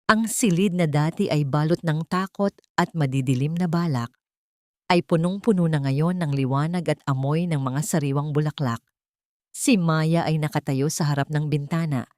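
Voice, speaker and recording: Female Filipino storyteller, lower register, solemn and sentimental, measured dramatic narration, recorded dry and close. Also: mellow, no echo.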